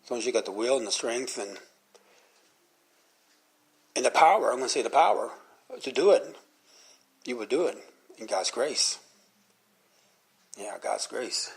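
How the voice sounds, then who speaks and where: A man's voice: mid-range voice, husky. A man of fifty-nine giving an informal devotional talk in a rambling, conversational, earnest manner.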